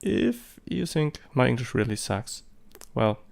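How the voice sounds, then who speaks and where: A man's voice: medium pitch, lively intonation, a little slow, creaky, darkish tone. A man in his thirties, a native German speaker talking in English with a German accent, giving casual, rambling commentary with many hesitations and fillers. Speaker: photography vlogger.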